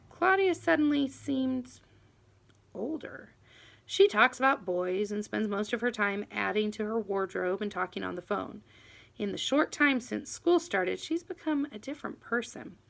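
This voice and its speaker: A woman reading a children's novel aloud in an expressive, animated first-person storytelling manner. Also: fairly high pitch, gravelly. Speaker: audiobook reader.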